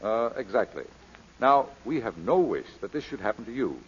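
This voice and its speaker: An actor playing a formal, diplomatic gentleman in an old radio drama, husky and courteous. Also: lowish voice, big pitch swings. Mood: courteously formal. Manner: pompous, measured, courteous. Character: formal, diplomatic English gentleman, suavely presiding. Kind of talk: 1940s radio drama acting. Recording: dull lo-fi old radio recording.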